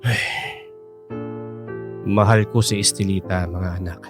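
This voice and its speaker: A lowish male voice plays a lovestruck older father in a radio drama. He is earnest and tender, with halting, stammering phrases. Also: fairly even intonation, music in the background. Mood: earnest, pleading.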